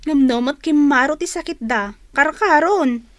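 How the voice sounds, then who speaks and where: A woman's voice: high-pitched, unhurried, fairly bright tone, no echo. A woman with a Filipino accent playing a dutiful, honest fruit seller, respectful and matter-of-fact, in a radio drama. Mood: earnest. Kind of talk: Ilocano radio drama acting.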